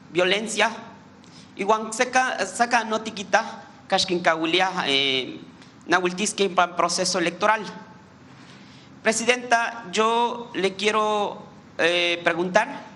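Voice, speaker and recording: A man with a Mexican accent whose first language is Nahuatl, a reporter asking a question at a press conference in a slow, earnest way while switching between two languages. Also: sits high, bright, forceful tone, slight background noise.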